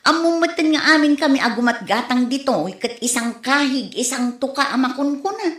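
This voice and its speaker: A village neighbour acted by a woman in a comedy radio drama, pleading and reproachful as she speaks. Her voice sits somewhat high, recorded in a clearly echoey room. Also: fairly quick, a bit bright. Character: pleading female neighbour complaining about prices, asking credit.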